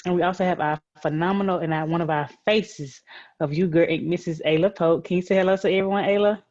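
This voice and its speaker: A woman's voice: lowish voice, fairly even intonation, fast, darkish tone, dry recording, highs cut off. A woman hosting an online program for young girls, speaking in an encouraging, announcer-like way. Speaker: host of a girls' group virtual story time.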